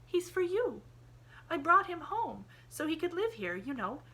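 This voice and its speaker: A woman's voice: very high voice, sing-song, unhurried, pushed and bright, pitched above the performer's own voice, full character voice, poor audio. A woman reading an audiobook, voicing an eager, endlessly cheerful young girl who chatters breathlessly in quaint old-fashioned phrasing. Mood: excited. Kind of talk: audiobook character voice.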